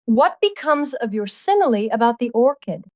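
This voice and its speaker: A man voicing a spirited, flirtatious English duchess in an audiobook, with a coy falsetto and quick retorts. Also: sing-song, no room sound, studio quality, full character voice. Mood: sparring. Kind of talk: audiobook character dialogue.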